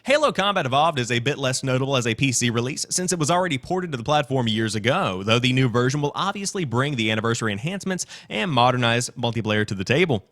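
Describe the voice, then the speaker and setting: A man's voice: mid-range voice, animated, fast, few pauses, fairly bright tone. Man hosting a gaming news show. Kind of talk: news commentary, part read and part ad-libbed.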